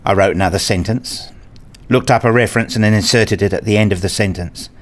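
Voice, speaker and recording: Low-voiced man narrating a story. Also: a little fast. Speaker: narrator and lead of a radio play. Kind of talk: scripted first-person narration.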